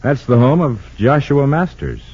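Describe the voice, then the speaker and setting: An actor playing a wry, inquisitive, easygoing newspaperman with a husky voice in an old radio drama. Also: medium pitch, very animated, normal speed. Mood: wry, casual. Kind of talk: 1940s-50s radio drama acting. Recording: dull lo-fi old radio recording.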